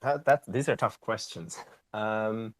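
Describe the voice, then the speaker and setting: Male guest, low register, dry studio-close mic, patient tech explainer. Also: slow, no echo. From Austria. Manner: explanatory, tags questions with "right?". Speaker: company co-founder and CEO, guest. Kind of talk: casual interview answers.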